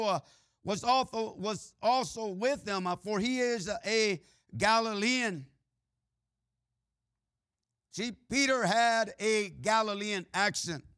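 Male preacher, Mexican-Texan accent, bright forceful tone, filler-heavy delivery. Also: sits high, clean tone, no room sound, studio quality. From Mexico and Texas. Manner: frequent "uh" and "amen" fillers, swings between loud preaching and quiet asides. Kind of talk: sermon.

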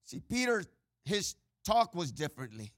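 A man with a Mexican-Texan accent preaching a sermon, full of "uh" and "amen" fillers, swinging between loud preaching and quiet asides. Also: high voice, sing-song, normal speed, dry recording, studio quality. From Mexico and Texas.

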